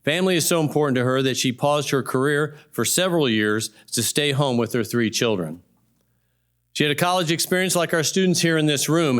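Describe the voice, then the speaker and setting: A man's voice: on the high side, a bit bright. A man acting as college host, reading a prepared introduction of a guest speaker to an audience. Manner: reads a prepared, upbeat introduction. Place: college hall, live audience.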